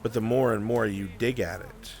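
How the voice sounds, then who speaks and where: A man playing a grave mage mentor in a fantasy roleplay, speaking in solemn, measured, weighty phrases. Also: fairly low pitch, fairly even intonation, darkish tone, close to an everyday voice. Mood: grave warning. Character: grave male mage mentor warning of magic's dangers. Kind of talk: improvised fantasy roleplay acting. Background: rain.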